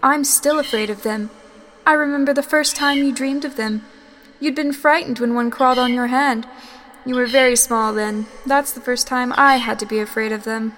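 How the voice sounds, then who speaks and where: A woman's voice: on the high side, steady tone, normal speed, fairly bright tone, slight background noise, close to an everyday voice. An actress playing a timid, frightened dream double of a woman in an old radio drama, pleading and fearful. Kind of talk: 1950s radio drama acting.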